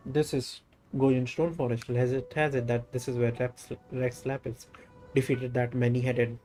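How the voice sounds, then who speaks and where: A man's voice: medium pitch, little pitch movement, normal speed, warm tone. Man reading game dialogue aloud over music. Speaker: game streamer.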